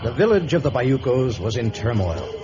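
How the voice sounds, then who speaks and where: A man's voice: medium pitch, sing-song, moderate pace. A man giving scripted dramatic narration for an old-time radio adventure. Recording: muffled old radio recording. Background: loud music.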